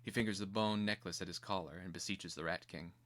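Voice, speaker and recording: A man narrating a screenplay as scripted drama, in a grave, deliberate and theatrical manner. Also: sits low, fairly even intonation, fairly bright tone.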